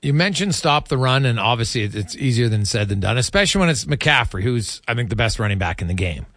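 A man hosting a sports radio interview, putting questions in a conversational way with some halting restarts. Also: mid-range voice, expressive, fairly bright tone, dry recording, clean studio sound. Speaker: radio host interviewing a guest.

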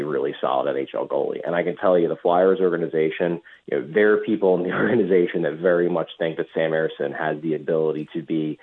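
Tinny phone line, deep husky male reporter. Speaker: hockey reporter, radio guest. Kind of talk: conversational sports analysis.

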